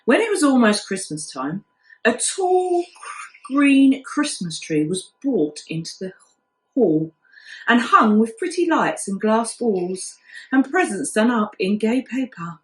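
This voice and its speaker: A woman's voice: on the high side, big pitch swings, slow. A woman reading a children's story aloud like a primary school teacher, acting out the telling expressively. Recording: echoey room.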